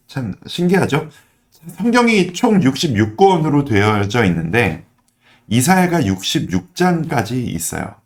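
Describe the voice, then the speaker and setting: A man, a pastor teaching the Bible in a conversational, earnest way, his voice slightly affected by a throat cold. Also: fairly low pitch. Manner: earnest, explanatory, emphatic repetition. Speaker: pastor giving a daily online devotional.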